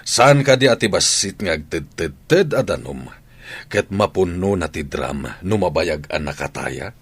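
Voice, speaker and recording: A man's voice: low-pitched, expressive, unhurried, fairly bright tone, slightly rough, heavily acted. An actor with an Ilocano Filipino accent playing a nagging, disapproving family man in a radio comedy drama. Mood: reproachful.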